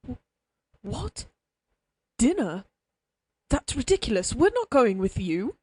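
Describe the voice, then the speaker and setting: A timid lawyer stammers his way through every plea, nervous and easily cowed, as a woman puts him on. The tone is warm and mellow, recorded close and dry for an audio roleplay. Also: on the high side, sing-song, slow pace, breathy edge, no echo, voice pushed up, heavily acted. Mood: indignant. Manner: stammering, pleading.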